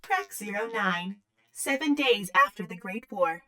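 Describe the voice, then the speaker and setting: A woman's voice: mid-range voice, lively intonation, measured pace, recorded from a distance, noisy background, natural voice. A woman playing a rule-bound female mainframe computer in an audio drama, clipped and procedural. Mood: formal, procedural.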